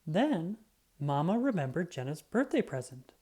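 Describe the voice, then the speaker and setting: A woman narrating a children's book in an expressive, scripted read-aloud style, acting out the dialogue. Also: lowish voice, normal speed, slightly rough.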